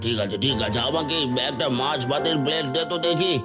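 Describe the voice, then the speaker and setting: A man's voice: a little high, brisk, lightly acted. Man playing a grumbling aging villager, music behind. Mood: resigned. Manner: complaining, singsong exclaiming. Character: grumbling, aging poor male villager customer. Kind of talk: cartoon moral-story voice acting.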